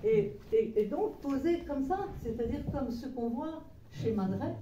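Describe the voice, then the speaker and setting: Woman guest at a book talk, very mellow low-toned voice, rambling and hesitant, picked up from far across an echoey room. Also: expressive, a little slow, a little hiss or hum. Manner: digressive, hesitant storyteller with many restarts. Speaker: guest author presenting her book. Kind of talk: informal book talk to a small audience. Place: meeting room with a live audience. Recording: roomy echo, voice far from mic.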